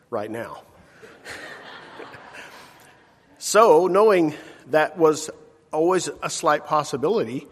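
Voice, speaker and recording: Man with fairly high voice announcing in church. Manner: relaxed, chuckling, hesitant fillers. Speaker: church leader making announcements. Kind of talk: casual announcements. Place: church sanctuary. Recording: church hall reverb.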